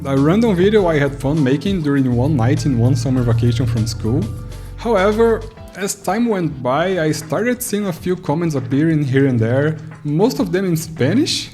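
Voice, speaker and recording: Brazilian guy in his 30s, portuguese is his first language, mellow voice, telling a story over faint guitar music. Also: fairly high pitch, lively intonation, moderate pace. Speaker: YouTuber. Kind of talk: scripted retrospective narration.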